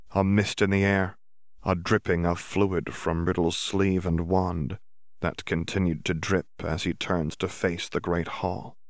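A man narrates a serialized story in a low voice, steady and plainly told. The recording is dry and close, with no room sound around him. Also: dry recording. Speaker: audiobook narrator. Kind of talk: reading a fan fiction audiobook.

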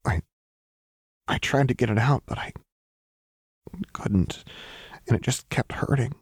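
Casting: narrator as wounded, devoted young woman, childlike and halting, raised pitch, audiobook drama. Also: darkish tone, husky, no room sound, studio quality. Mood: pained, weak. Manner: halting, stammering, simple and pleading.

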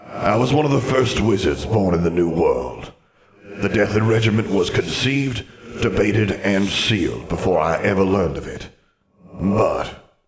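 A man voicing an ancient, stern and proud wizard's ghost who speaks in a formal, measured, haughty manner. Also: low voice, expressive, echoey room. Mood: solemn.

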